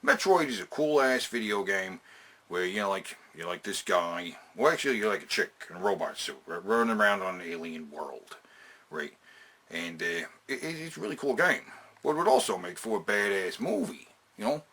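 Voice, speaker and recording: A man with a slightly low, raspy voice presents a countdown in a casual, opinionated way. His pitch swings in a lively way as he leans on slang and swearing. He often repeats phrases for emphasis. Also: moderate pace. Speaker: host of a video game and movie countdown.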